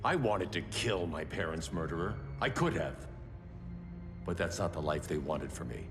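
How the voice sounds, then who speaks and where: An actor playing a grave, stern middle-aged male vigilante in a video game cutscene, speaking in a measured, restrained way. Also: sits low, expressive, music in the background. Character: brooding grim male vigilante hero, stern. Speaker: masked vigilante and father.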